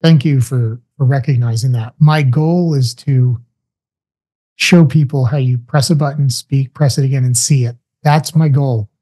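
A man in his forties explains his product as an interview guest, walking through each step in order. His voice is mellow and even, sitting in a middle pitch. He speaks close into a studio mic in a small room at home. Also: slightly rough. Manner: brisk, explanatory, walks through steps. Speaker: guest, tech company founder. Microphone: large studio mic on arm with headphones, video call.

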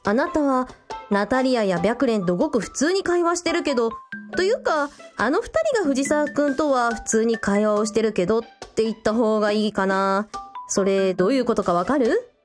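A woman's voice: fairly high pitch, animated, moderate pace, music in the background, close to an everyday voice. A woman playing a teasing, sociable young elder sister in a radio drama, with a casual, playful way of talking. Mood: fond, explaining.

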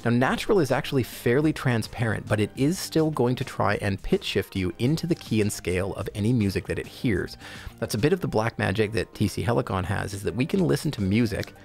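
A man narrating a software tutorial in a casual, informal, explanatory way. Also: fairly low pitch, creaky. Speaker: product tutorial presenter.

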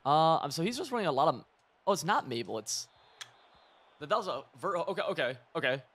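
A hoarse young man giving rambling, excitable live commentary over a football video game, mixing coaching tips with side stories. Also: a little high, a little fast. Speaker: gaming video creator.

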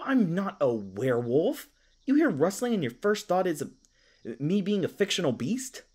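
Flustered young male elf park ranger, played by a man, audio roleplay. Awkward, folksy, stammering, highly animated intonation.

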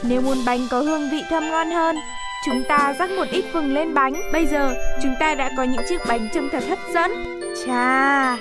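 Cheery cartoon bear cooking presenter, female actor: high voice, friendly instruction over loud music. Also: moderate pace, close to an everyday voice. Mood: friendly, instructive. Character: cheery bear hosting a television cooking show. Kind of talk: scripted cooking-show presentation.

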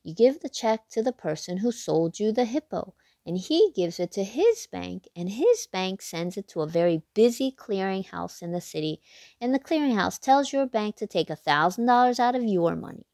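A woman reads a children's picture book aloud in a lively storybook manner, her voice jumping up with excitement at the big moments. Her voice sits in a middle register. The sound is close and dry, with no room echo. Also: normal speed. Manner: expressive storybook reading with big excited pitch jumps. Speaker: read-aloud host.

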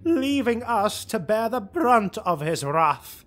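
A man's voice: sits high, sing-song, slow pace, a bit bright, pitched above the performer's own voice, full character voice. Man voicing an anxious, rueful elf retainer. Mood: dread, rueful.

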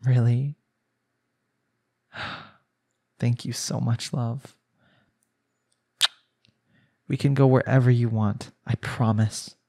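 A man acts out a young husband in a romantic audio drama, with a mid-pitched voice. He is devoted and possessive, and speaks intimately and imploringly to the spouse he adores. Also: steady tone, slow, gravelly, mellow. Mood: relieved, tender. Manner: earnest, pleading, sighing delivery. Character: jealous, lovestruck young husband pleading with his spouse. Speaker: audio roleplay voice actor. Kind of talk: intimate romantic audio roleplay, one-sided dialogue.

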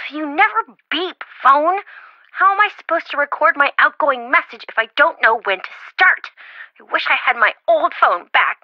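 A high, very animated flighty young woman, the well-meaning best friend in a game. She rambles and blurts in an over-eager way. The sound is dry and a little dull. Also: moderate pace, dry recording. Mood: bubbly. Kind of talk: video game voice acting.

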